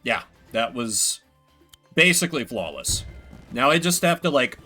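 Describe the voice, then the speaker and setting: Lively, bright-voiced man commentating a game. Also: medium pitch, measured pace, faint music behind. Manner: wry, playful, swears casually. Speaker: video game streamer. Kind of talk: casual gameplay commentary.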